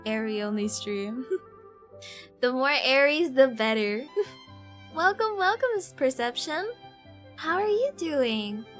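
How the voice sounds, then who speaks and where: A woman streamer talks casually over her game. Her voice is somewhat high, with a playful, expressive delivery. Faint game music runs behind her. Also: takes it slowly, long pauses. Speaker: live streamer.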